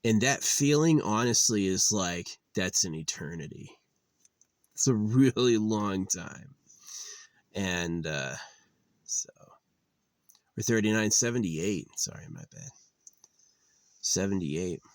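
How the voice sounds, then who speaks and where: A man's voice: fairly low pitch, expressive, takes it slowly, long pauses, no room sound. A man in his late thirties musing in a rambling, weary monologue, with lots of fillers and restarts. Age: 30s. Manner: weary, resigned. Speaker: channel host.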